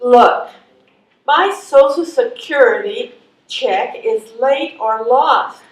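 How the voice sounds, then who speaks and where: A woman playing a wry, stubborn, hungry caller of seventy-three in a live readers theatre reading. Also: sits high. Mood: indignant. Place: live stage reading in a room. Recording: echoey room, distant microphone.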